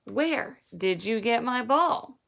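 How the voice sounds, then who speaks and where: A woman's voice: on the high side, expressive, takes it slowly, vocal fry, a bit bright, full character voice. Woman acting a pointed, bullying boy gang leader. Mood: accusing.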